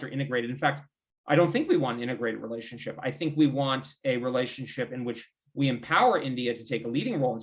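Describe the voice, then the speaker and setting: American male foreign policy analyst, informal interview guest, discursive and self-correcting. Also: mid-range voice. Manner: discursive, halting, qualifies and rephrases himself often.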